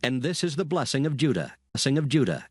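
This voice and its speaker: A man narrating scripture in a measured, expressive reading. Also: medium pitch. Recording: dry close mic, light music.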